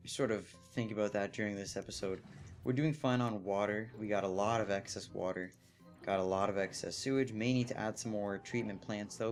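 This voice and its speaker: Young man rambling through gameplay. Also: lowish voice. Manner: rambling, thinking aloud with fillers. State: slightly hoarse. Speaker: gameplay commentator. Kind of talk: casual let's play commentary.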